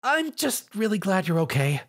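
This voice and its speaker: A man's voice: high-pitched, sing-song, moderate pace, a bit bright, pitched above the performer's own voice, exaggerated voice. A man voicing an earnest young female pony in a story, eager and a little anxious. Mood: nervous, caring.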